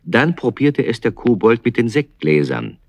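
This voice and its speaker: A man's voice: mid-range voice, big pitch swings, moderate pace, vocal fry, dry recording. A man narrating a children's audio play as a storyteller, in scripted storybook style.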